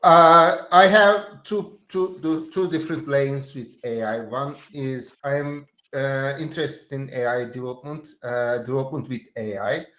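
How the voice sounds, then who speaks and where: A man with a Turkish accent, a freelance developer introducing himself on a webinar panel, talking with many hesitant pauses. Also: mid-range voice, fairly bright tone. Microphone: video call. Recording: roomy, dull lo-fi call audio.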